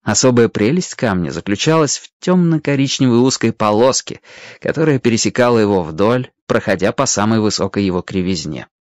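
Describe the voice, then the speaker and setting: A man's voice: on the low side, animated, brisk, no echo. A man narrating a children's adventure story expressively from a script, as a storyteller.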